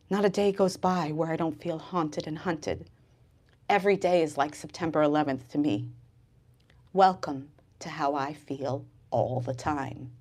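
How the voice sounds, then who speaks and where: A woman's voice: on the low side, darkish tone. A woman from the United States, an author speaking expressively about her novel in a dramatic, emphatic manner. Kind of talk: expressive literary reading and book talk. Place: live bookstore event.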